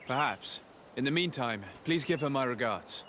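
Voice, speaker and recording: A composed young emissary from a fantasy game played by a man. He speaks politely and formally in an average male register, dutiful and measured. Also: medium pitch, lively intonation, a bit bright, slight background noise, close to an everyday voice. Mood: polite, diplomatic. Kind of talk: video game cutscene acting.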